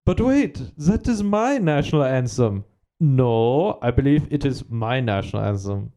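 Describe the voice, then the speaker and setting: A man's voice: medium pitch, expressive, unhurried, dark tone. A man doing an indignant European man. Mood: insistent. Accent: mixed European put-on accent. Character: proud European man claiming an anthem.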